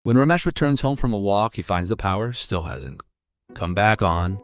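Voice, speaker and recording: Male storyteller, low register, scripted narration, close dry recording. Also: expressive, moderate pace, no room sound, faint music behind.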